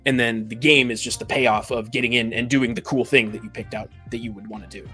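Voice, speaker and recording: A man's voice: medium pitch, fairly even intonation, a little fast, pushed and bright. A man talking through his reasoning in a casual panel discussion, explaining at length and readily allowing for his own limits. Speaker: podcast panelist. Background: background music.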